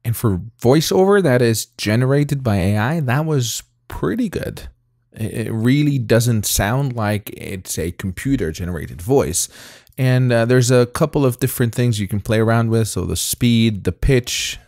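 A man in his thirties with a non-native English accent, casually demoing software in a relaxed, chatty way with frequent fillers, recorded at home on a close mic. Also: medium pitch, measured pace, no echo. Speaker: tech reviewer. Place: home studio room with colored lights.

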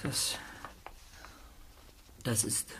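A man's voice: drawn-out words, noisy background. An actor voicing a teenage boy detective who stammers when startled, in a radio play.

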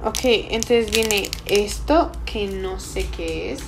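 Young woman vlogging over clear background noise. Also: medium pitch, slow. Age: young adult. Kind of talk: casual chat to camera while cooking. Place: small indoor room, at a table.

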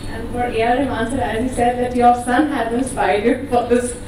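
A woman's voice: on the high side, steady tone, brisk, a little mellow. A woman with an Indian accent putting questions as a reporter at a press event. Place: indoor press event hall. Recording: very echoey hall, distant, noisy.

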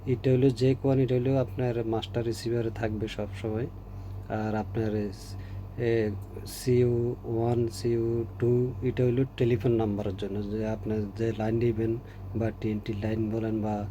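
A man with a Bangladeshi Bengali accent gives an informal, halting repair demonstration as a technician, often repeating phrases. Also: medium pitch, level tone, warm tone, dull audio. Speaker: repair technician presenting a tutorial. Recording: noticeable background hum and noise.

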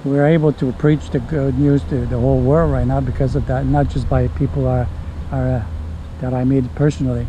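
A man's voice: mid-range voice, little pitch movement, brisk, noisy background. An older man preaching on the street, talking earnestly and hesitantly with many fillers, recorded outdoors on a body-worn camera. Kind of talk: casual street conversation. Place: outdoors on a leafy residential street.

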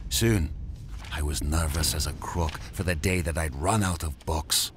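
A man's voice: extremely low pitch, unhurried, a little hiss or hum. An actor playing a tormented male mad scientist, delivering a solemn, theatrical rhyming monologue in a gothic horror audio drama. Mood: defiant, obsessed. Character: tormented, brooding male mad scientist confessing his blasphemy.

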